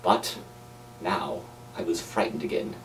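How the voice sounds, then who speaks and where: A man narrating classic literature as a reader, in a deliberate, theatrical storytelling manner. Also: low voice, a bit bright, recorded from a distance, a little hiss or hum, low-quality recording. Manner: deliberate, dramatic.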